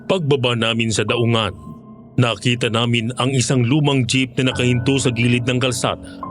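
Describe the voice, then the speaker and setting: A man's voice: medium pitch, little pitch movement, normal speed, faint music behind. A man with a Filipino accent telling a scripted first-person horror story as the narrator. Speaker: narrator of a horror audio drama.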